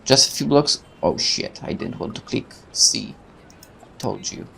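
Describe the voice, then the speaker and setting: A man's voice: lowish voice, expressive, a little slow, vocal fry, pushed and bright, slight background noise. A man hosting a let's play, reading game text aloud and adding rambling casual asides, sometimes stumbling over words. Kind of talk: reading a visual novel aloud with casual commentary.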